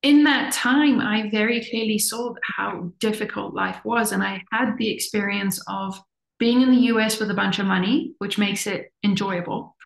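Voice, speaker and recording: Earnest middle-aged woman, gravelly voice. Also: medium pitch, steady tone, moderate pace. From born in the US, raised in Zimbabwe. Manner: earnest, repeats words for emphasis. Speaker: interview guest. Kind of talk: reflective conversational talk. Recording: echoey room.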